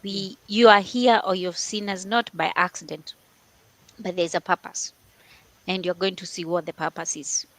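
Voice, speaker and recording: A woman's voice: lowish voice, fairly even intonation, normal speed, a bit bright, poor audio. A middle-aged woman with a Kenyan accent introduces herself as a panelist and addresses the audience. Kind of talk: welcoming self-introduction to an audience.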